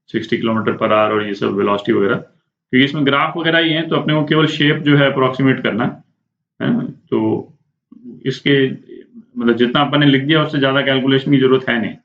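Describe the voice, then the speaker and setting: An Indian man teaches like a physics tutor working through a problem aloud. He explains informally, often checking in and asking himself questions. The sound is roomy and somewhat muffled. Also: medium pitch. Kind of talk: spoken lesson working through a problem step by step. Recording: echoey room, dull muffled sound.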